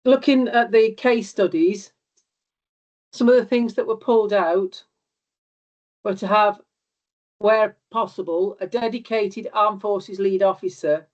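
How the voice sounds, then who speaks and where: A woman with a cold and a slightly squeaky voice reports to a meeting over a video call. She speaks steadily, with a few ums and restarts. The call audio is dull and muffled. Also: a little slow, pauses often, darkish tone. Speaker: regional covenant liaison officer. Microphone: remote video call.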